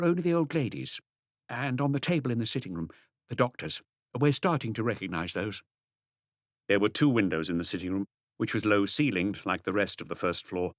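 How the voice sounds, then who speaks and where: A man reading a mystery audiobook as scripted narration, with measured storytelling delivery. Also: low-pitched, fairly bright tone, no room sound, clean studio sound.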